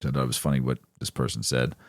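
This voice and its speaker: A man's voice: a bass voice, flat delivery, fast, creaky, no echo, clean studio sound. A man co-hosting a podcast in a loose, rambling way, laughing often and swearing casually. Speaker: co-host. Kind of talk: casual chat.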